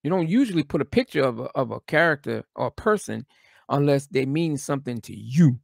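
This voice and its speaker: A man chatting casually as a guest on a live stream, hoarse from losing his voice and rambling with lots of fillers. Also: medium pitch, animated, brisk, no echo, clean studio sound. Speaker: guest speaker.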